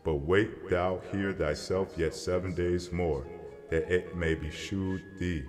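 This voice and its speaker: A man's voice: low voice, fairly even intonation, slow. A man playing a solemn divine messenger who interprets a prophetic dream in a grave, declamatory scripture dramatization. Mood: solemn, instructing. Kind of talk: dramatized scripture reading over music. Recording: long hall reverb. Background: music.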